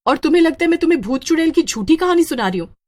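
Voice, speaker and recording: Emotional high-pitched young woman voiced by a narrator. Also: expressive, racing, darkish tone. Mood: indignant. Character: upset, earnest young working woman who met ghosts. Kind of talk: Hindi horror story dialogue.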